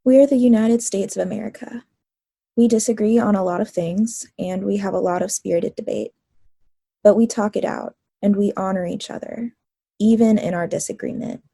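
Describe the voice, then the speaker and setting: Gravelly, husky woman reader. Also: mid-range voice, steady tone, moderate pace, warm tone, dry recording. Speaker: reader at a church table reading. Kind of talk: reading quotations aloud.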